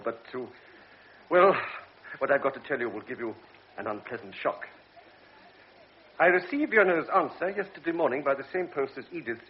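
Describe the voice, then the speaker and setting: A man's voice: mid-range voice, natural voice. Guy doing a serious old doctor, stern and preachy, radio play, dull sound. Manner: grave, lecturing. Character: grave older male doctor, stern and measured. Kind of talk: radio drama acting. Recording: dull old radio recording with faint hiss.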